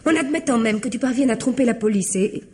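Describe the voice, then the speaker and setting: An actress playing an anxious, doubting wife in a French radio drama, with an accent from France and an agitated, protesting manner. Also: female voice, a little high, fast talker, a little mellow, a little hiss or hum, close to an everyday voice. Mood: shocked, protesting. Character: anxious, doubting wife alarmed by her husband's scheme.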